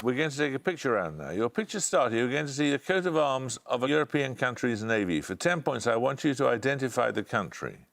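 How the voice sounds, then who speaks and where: A man hosting a televised quiz, reading questions and calling answers in a brisk, authoritative way. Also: medium pitch, sing-song, gravelly, a bit bright, some chatter behind, a little hiss or hum. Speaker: quiz show host.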